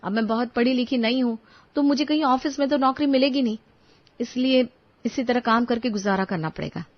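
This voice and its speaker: Young daughter-in-law played by a female narrator, high voice, earnest, pleading yet firm, cartoon moral tale, slightly muffled audio. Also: fairly quick, natural voice. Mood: earnest, defensive. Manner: pleading, reasoning, polite but firm.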